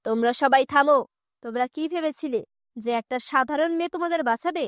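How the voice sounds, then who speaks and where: A woman voicing a menacing male kidnapper villain in a cartoon, with a gruff, threatening manner. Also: high voice, a little fast, no echo, studio quality, pitched below the performer's own voice, close to an everyday voice. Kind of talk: cartoon dubbing.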